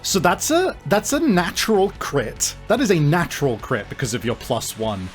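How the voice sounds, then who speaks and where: A man acting as game master of a tabletop role-playing game, explaining rules in a deliberate, hesitant way. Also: fairly high pitch, huge pitch swings. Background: music.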